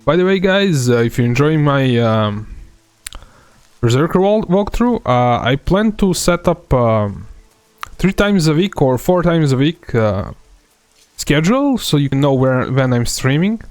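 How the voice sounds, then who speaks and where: A man's voice: fairly high pitch, unhurried, dry recording. A young man from Serbia with a light Serbian accent, giving rambling live game commentary full of fillers. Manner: relaxed, rambling, many fillers. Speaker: game streamer.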